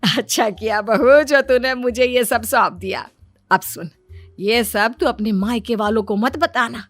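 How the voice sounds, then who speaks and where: A woman's voice: a little high, measured pace, no room sound, faint music behind. A woman voicing a greedy, crafty middle-aged mother-in-law in a cartoon story, bossy and wheedling. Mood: sly, wheedling.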